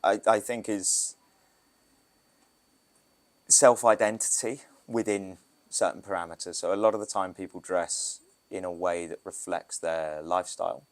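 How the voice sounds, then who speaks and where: British man, very low voice, casual rambling podcast guest, photographer. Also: fairly even intonation, a little slow. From Britain. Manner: loose, rambling, with restarts and asides. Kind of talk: casual interview talk.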